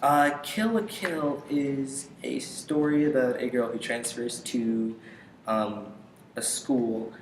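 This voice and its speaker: A young man giving casual interview answers in a hesitant, halting way, pausing often. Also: medium pitch. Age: young adult. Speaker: interview guest, aspiring voice actor. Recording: echoey room with faint noise.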